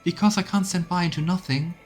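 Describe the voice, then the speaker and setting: A man's voice: high-pitched, fairly even intonation, quick pace, pitched above the performer's own voice, natural voice. An earnest young female deserter seeking redemption, played by a male streamer, in a raised voice for a fantasy game. She pleads resolutely over faint music. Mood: vehement. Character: earnest young woman, remorseful former deserter.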